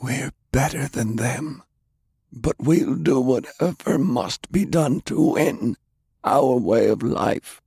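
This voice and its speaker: Audiobook role: stern old commander played by a male narrator, slow, strained and gravelly, pitched up from a deep narrating voice. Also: a little mellow, no room sound, studio quality, full character voice. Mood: determined. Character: Pershing, ailing old male war leader, stern and ruthless. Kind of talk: audiobook character acting.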